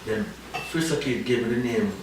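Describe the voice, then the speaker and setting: A man's voice: mid-range voice, moderate pace. Jamaican guy rambling on, lots of "you know", sounds far off in a big echoey room. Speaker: reggae artist guest. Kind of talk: casual interview talk. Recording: echoey, distant, faint noise.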